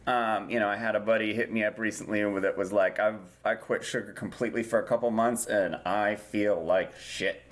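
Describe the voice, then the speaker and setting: A man's voice: lowish voice, fairly even intonation, fairly quick, few pauses. A man in his thirties co-hosting a podcast, chatting casually and rambling with lots of ums and restarts. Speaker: podcast co-host. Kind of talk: casual chat.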